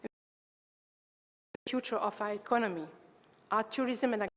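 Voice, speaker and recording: An older woman gives a formal prepared conference speech, earnest and measured, delivered phrase by phrase. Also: mid-range voice, fairly bright tone. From Tunisia. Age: 50s or older. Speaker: water agency coordinator, conference speaker. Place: large indoor conference hall. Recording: reverberant hall, long echo.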